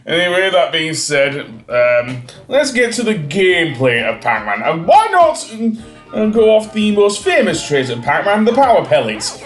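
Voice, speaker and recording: Echoey, higher-pitched man commenting on games. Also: huge pitch swings. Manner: halting, rambling. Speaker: video game reviewer. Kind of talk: casual gameplay commentary. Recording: roomy echo, faint music behind.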